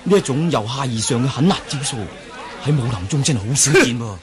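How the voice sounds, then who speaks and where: An actor with a Hong Kong Cantonese accent playing an emphatic, marvelling onlooker in a martial arts radio drama. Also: male voice, medium pitch, lively intonation, fast talker, close to an everyday voice. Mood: astonished. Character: exclaiming male onlooker at a martial gathering. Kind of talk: 1980s radio drama acting. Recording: crowd murmur behind.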